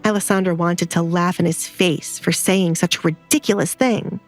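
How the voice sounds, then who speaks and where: A woman's voice: on the low side, steady tone, normal speed, faint music behind. A woman narrator reading a scripted romantic drama in a storytelling style.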